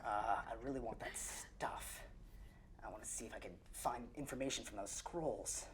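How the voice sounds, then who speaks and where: A woman's voice: rumbling low, normal speed, slight background noise. A woman playing a tabletop game, talking casually and playfully as she thinks aloud. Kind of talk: casual improvised game talk.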